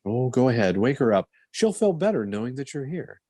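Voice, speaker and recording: An actor playing a calm, reassuring, matter-of-fact doctor in old-time radio drama style. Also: male voice, medium pitch, brisk, no room sound.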